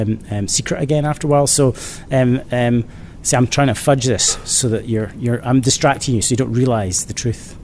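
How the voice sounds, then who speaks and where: A man answering interview questions as a children's author, in a casual way with dry, playful humour. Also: darkish tone. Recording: clear background noise, low rumble.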